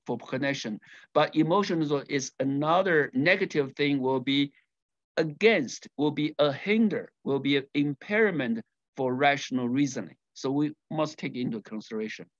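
A man's voice: fairly high pitch, no echo. A man with a Mandarin Chinese accent giving an academic conference talk over a video call, hesitant and often rephrasing, with small laughs between points. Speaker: conference presenter. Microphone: video call microphone. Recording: dry, dull lo-fi video call audio.

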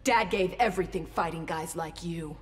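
A woman's voice: lowish voice, normal speed, loud music behind the voice, close to an everyday voice. An actress playing a tough, earnest female soldier in a video game, stern and confrontational. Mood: stern defiance. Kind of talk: video game character dialogue. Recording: long reverb, loud noise behind.

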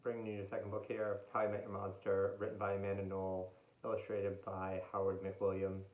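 A man's voice: low voice, completely flat delivery, fairly quick, phone-quality sound. A man, reading a children's story aloud expressively. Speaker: read-aloud reader.

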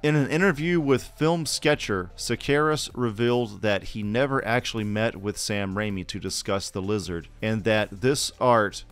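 A man's voice: on the low side, normal speed, hardly any pauses, clean tone, faint music behind. A man co-hosting a podcast, reading scripted narration. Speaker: podcast co-host.

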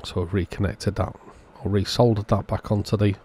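A man's voice: lowish voice, fairly even intonation, fairly quick, darkish tone, slight background noise. A man narrating a hands-on repair walkthrough in a matter-of-fact, understated way, as the host of a repair channel.

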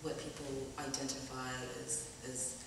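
A woman's voice: a bass voice, little pitch movement, normal speed, gravelly, background noise. A woman moderating a panel, chiming in with eager, affirming remarks. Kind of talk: onstage panel conversation. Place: stage in a large auditorium. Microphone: stand mic a little away. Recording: echoey hall, distant mic.

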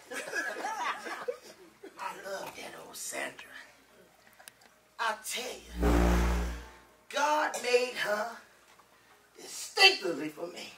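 A man playing a lovestruck, exuberant older husband in a stage play, talking to the audience in a folksy, boisterous way with drawn-out exclamations. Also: very high-pitched, heavy background noise, heavily acted. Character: exuberant, lovestruck older husband, married thirty-five years. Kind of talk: theatrical stage monologue to the audience. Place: indoor theater stage with living-room set. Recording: echoey stage, picked up from far off.

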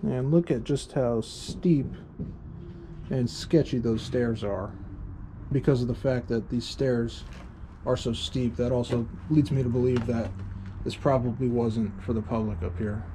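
A man's voice: medium pitch, fairly even intonation, unhurried, noisy background. A man casually narrating a walk through an abandoned train station, musing and thinking aloud as he points things out. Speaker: urban explorer vlogger. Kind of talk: casual on-location walkthrough narration.

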